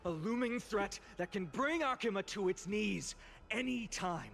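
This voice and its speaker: Young game orator, high-pitched, sweeping intonation, impassioned, eloquent, emphatic, faint ambient noise. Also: male voice, lively intonation, unhurried, vocal fry, a bit bright, exaggerated voice. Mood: grave, urgent. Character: impassioned young male orator addressing a citizens' assembly. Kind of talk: dramatic theatrical game voice acting, public oration.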